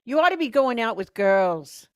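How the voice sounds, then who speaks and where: A woman's voice: a little high, sing-song, normal speed, no echo, studio quality. A woman playing a nagging, encouraging mother of a grown son in a vintage-style radio play.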